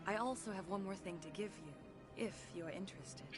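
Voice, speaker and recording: A woman's voice: mid-range voice, lightly acted. Serene alien consort played by a woman, music behind. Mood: gracious. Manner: formal, measured, solemn. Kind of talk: video game dialogue. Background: game music.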